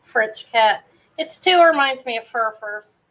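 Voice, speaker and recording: Brief: woman, live-sale host, loud carrying voice, rambly and self-interrupting, home setup. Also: on the high side, expressive, unhurried, poor audio. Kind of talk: casual live sale chat. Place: home apartment, fan running.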